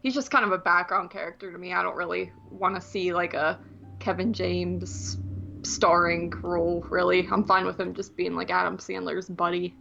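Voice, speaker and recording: A woman's voice: fairly low pitch, little pitch movement, moderate pace, creaky, bright, forceful tone, dull audio, faint music behind. A woman co-hosting a movie podcast in casual, rambling conversation, hedging as she goes.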